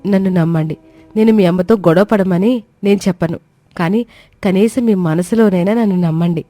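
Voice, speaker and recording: A dutiful young wife voiced by a woman in a cartoon family tale. She speaks politely and with measured firmness, dignified and determined, in a slightly low register. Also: warm tone, no room sound, faint music behind, close to an everyday voice. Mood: hurt, resolute. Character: patient, dutiful young daughter-in-law, quietly determined and dignified. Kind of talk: dramatized animated moral story.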